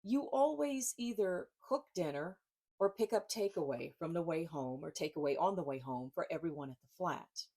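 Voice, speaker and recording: A woman author speaking informally and reading expressively from her book, with deliberate, emphatic phrasing and pauses. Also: lowish voice, animated, warm tone.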